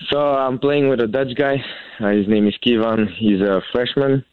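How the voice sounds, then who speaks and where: A young man from Belgium, a college tennis player, answering interview questions in halting with many fillers and restarts. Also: medium pitch, smooth, no room sound. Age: young adult. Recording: tinny phone-like sound.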